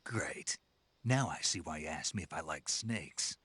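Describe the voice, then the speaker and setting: An actor playing a gruff, dry-witted special forces soldier in a video game, gravelly and husky, terse and deadpan. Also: male voice, very deep voice, a little slow, no room sound, full character voice. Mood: dry, wry. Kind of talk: scripted video game voice acting.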